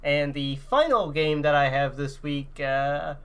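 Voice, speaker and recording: Casual male gaming podcaster, slightly high voice. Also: a little slow, fairly bright tone, smooth.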